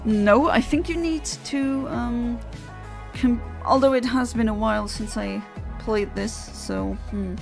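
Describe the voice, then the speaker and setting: Woman streamer, non-native English accent, slightly high and gravelly, chatty and self-mocking, music underneath. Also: slow. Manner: chatty and self-deprecating, many fillers and restarts. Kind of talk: casual live-stream chat while playing and answering chat.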